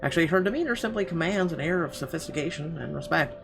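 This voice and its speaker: A man's voice: a little high, expressive, fast, gravelly, background noise. A man doing a let's play, reading visual novel narration aloud expressively with occasional stumbles. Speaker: let's player. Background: music.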